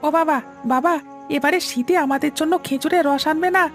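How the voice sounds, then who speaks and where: A woman's voice: high voice, a little fast, soft background music, natural voice. A woman voicing an eager little village schoolboy in a cartoon, childlike and pleading. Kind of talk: Bengali cartoon story dubbing.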